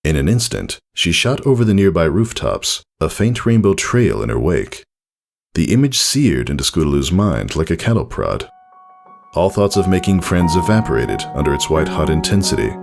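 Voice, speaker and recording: A man reading a scripted story aloud as narrator, in a measured, deliberate and expressive way. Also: sits low, vocal fry, faint music behind. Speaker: narrator reading fan fiction.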